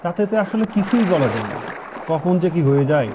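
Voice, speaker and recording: A man's voice: a little high, sing-song, fast talker. A man playing a caring, cautious young newlywed husband in a cartoon, speaking in an earnest, familiar way. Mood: concerned. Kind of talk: cartoon voice acting. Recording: clear river water noise.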